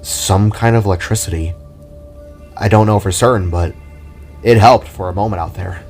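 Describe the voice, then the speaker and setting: A shy young hero, as a man reads him, talking in a low, unsure voice. He hesitates and sounds nervous about himself, in a homemade fan audio drama with faint music behind. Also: moderate pace, a little mellow, natural voice. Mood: hesitant.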